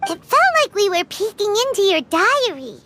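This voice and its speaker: A woman's voice: very high voice, very animated, normal speed, pushed and bright, full character voice. A woman voicing a tiny, plucky, childlike fairy companion in a video game, with an exclamatory manner. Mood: curious.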